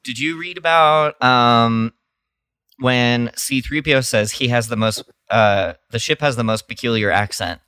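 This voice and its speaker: A man's voice: medium pitch, unhurried, sharp tone, clear, resonant tone, dry recording, studio quality. A man co-hosting a podcast in casual, conversational talk. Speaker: podcast co-host. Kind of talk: casual chat.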